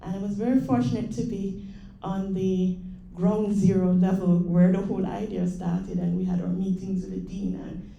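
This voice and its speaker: Middle-aged jamaican lady talking at a panel, dark voice, dull muffled mic. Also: medium pitch, level tone, moderate pace, vocal fry, few pauses. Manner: conversational, with fillers and small laughs. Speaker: panel speaker, assistant professor. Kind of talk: conference talk. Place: conference room. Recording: dull roomy hall sound.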